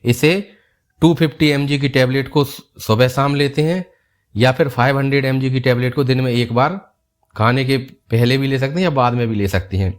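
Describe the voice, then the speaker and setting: Male doctor, mid-pitched, calm step-by-step explainer. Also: normal speed, clean tone. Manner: calm, steady explaining, repeats key points. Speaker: doctor explaining health topics. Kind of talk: explanatory health video.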